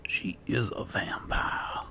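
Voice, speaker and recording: Un uomo che commenta un videogioco in stile gioco di ruolo, riflettendo ad alta voce nei panni del personaggio, con musica di gioco sotto. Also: male voice, slow, sharp tone, slight background noise. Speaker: gaming channel host role-playing as an alchemist. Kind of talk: slow, musing in-character game roleplay commentary. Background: game music.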